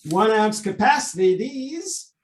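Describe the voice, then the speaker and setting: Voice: medium pitch, very animated, takes it slowly. An actor playing a cynical police sergeant in a radio drama, recorded with a roomy echo. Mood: matter-of-fact, pleased. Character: cynical, unhurried police sergeant, inspector's sidekick. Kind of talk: old-time radio drama acting. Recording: roomy, echoing sound.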